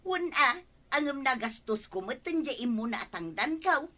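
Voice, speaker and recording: Shrill, excitable Ilocano village wife. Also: female voice, moderate pace, full character voice. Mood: boastful. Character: money-proud village wife, excitable, nagging. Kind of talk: Ilocano radio comedy drama acting.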